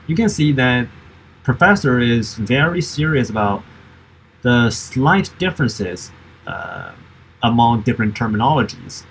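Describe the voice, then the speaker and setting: A man's voice: medium pitch, slight background noise, poor audio. A man whose first language is Chinese, giving a reflective spoken summary with frequent fillers and restarts. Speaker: summer institute participant.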